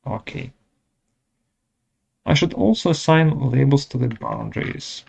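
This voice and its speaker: Slow, gravelly man presenting a software tutorial. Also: mid-range voice. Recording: dull lo-fi audio.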